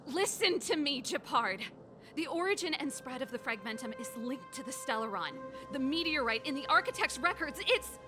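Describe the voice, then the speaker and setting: A woman's voice: high voice, animated, normal speed. A woman playing an earnest, principled rebel elder sister in a video game, with a resolute, pleading delivery. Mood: urgent. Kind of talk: dramatic video game voice acting. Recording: game music under the voice.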